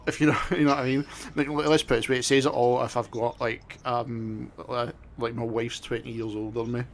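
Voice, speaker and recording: A Scottish man chats casually as co-host of a gaming stream. His mid-pitched voice comes with plenty of swearing and halting, repeated starts, over faint background noise. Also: flat delivery.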